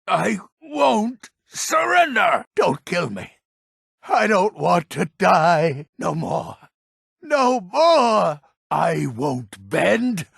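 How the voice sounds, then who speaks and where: An actor playing a grumpy, defiant old man in a fantasy video game, with a raspy, gravelly voice and a gruff, theatrical, emphatic delivery. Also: high voice, big pitch swings, drawn-out words, a bit bright, no echo, studio quality, exaggerated voice. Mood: defiant, desperate. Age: older.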